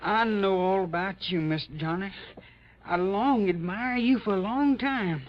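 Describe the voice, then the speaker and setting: An actor in a vintage American radio drama playing a frightened, uneducated young man who pleads haltingly in broken, repetitive dialect. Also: medium pitch, natural voice. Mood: anxious pleading. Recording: muffled old radio drama recording.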